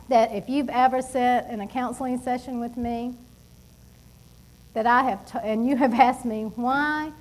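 A middle-aged woman preaching a sermon from a church pulpit, emphatic and animated, with asides to the congregation. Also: on the high side, poor audio. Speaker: pastor. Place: church stage at a wooden pulpit.

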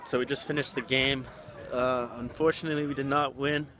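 A man's voice: mid-range voice, little pitch movement, fairly quick, sharp tone, a little hiss or hum. Young American man vlogging amid background chatter. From Texas, USA. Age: young adult. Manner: slangy, mildly profane. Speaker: vlogger, college rugby player. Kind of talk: casual vlog chat to camera. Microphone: phone at arm's length. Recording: background chatter, handheld outdoor and indoor audio.

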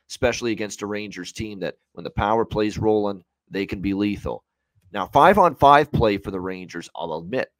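A man's voice: sits low, no echo. A man in his thirties giving conversational sports betting analysis from a room at home, building long, hedged, run-on points. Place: small room at home, on a video call.

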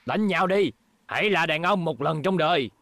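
A man's voice: on the high side, expressive, moderate pace, noisy background. An actor playing a menacing, taunting male robot bully who sneers threats in a dubbed cartoon.

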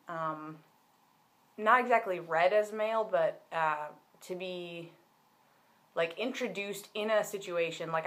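A man's voice: sits high, a bit bright. Young man chatting casually to a webcam. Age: young adult. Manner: chatty and candid, many fillers and restarts. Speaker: vlogger. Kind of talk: casual personal vlog. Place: bedroom with bookshelves, indoors.

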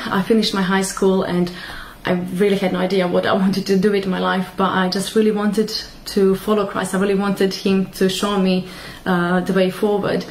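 A woman's voice: fairly low pitch, a little mellow, slight background noise, rough recording. A woman, sharing her faith testimony to a webcam at home, hesitant with many fillers. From Croatia. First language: Croatian. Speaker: church member sharing testimony. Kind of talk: personal testimony to camera. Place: small room at home, indoors.

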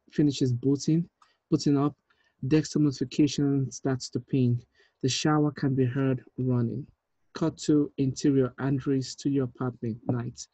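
A man in his thirties reading screenplay directions aloud in a measured, deliberate way, with small stumbles. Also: mid-range voice, warm tone, dry recording, rough recording. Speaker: writer reading stage directions at a table read. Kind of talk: script narration, reading aloud. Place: indoor room, on a video call.

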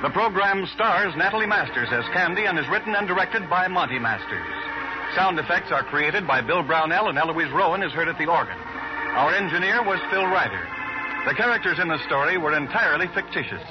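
Higher-voiced man announcing on muffled old radio. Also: expressive, a little fast. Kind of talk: 1950s scripted radio credits announcing. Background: organ music.

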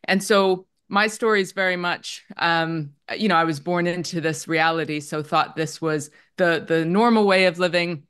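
A woman's voice: lowish voice, normal speed, few pauses, a bit bright, smooth. A Dutch-born woman in her forties with a slight Canadian accent, openly telling her life story on a video call as a psychotherapist. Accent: somewhat Canadian. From Netherlands. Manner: open, reflective, with fillers like "um" and "like". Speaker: guest, psychotherapist.

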